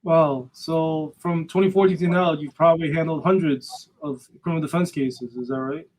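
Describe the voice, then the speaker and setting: A man with a fairly high-pitched voice hosts an interview. He speaks conversationally, posing questions and follow-ups to a guest. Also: steady tone, normal speed, a little mellow, slight background noise, poor audio. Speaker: podcast host, interviewer, attorney.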